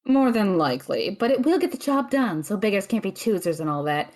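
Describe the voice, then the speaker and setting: A woman's voice: mid-range voice, very animated, a little fast, a little mellow, natural voice. A young woman playing an anxious, studious tech whiz student in a fantasy audio drama, speaking with earnest precision. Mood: analytical, curious.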